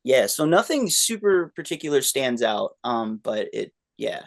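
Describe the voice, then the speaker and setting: A man's voice: mid-range voice, big pitch swings, a little slow, vocal fry. A man in his thirties, a musician guest, talking casually with many fillers and restarts. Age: 30s. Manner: rambling, self-deprecating, many fillers and restarts. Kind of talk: casual interview chat.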